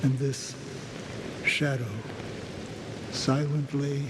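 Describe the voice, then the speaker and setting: An actor playing a grave, calm male sage in a video game, speaking in solemn, measured riddles. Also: medium pitch, big-room sound, background noise. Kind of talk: scripted game drama dialogue.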